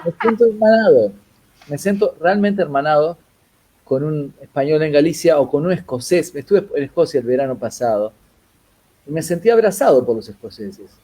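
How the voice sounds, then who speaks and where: A man's voice: fairly high pitch, lively intonation, normal speed, warm tone, breathy edge. A man, a composer speaking as a guest in a casual conversation, talking animatedly and switching back and forth between Portuguese and Spanish.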